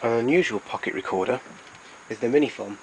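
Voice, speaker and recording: A man giving an informal, matter-of-fact demonstration as a collector, explaining things in a halting way. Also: medium pitch, heavy background noise. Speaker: collector presenting his tape recorders. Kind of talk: informal product demonstration narration.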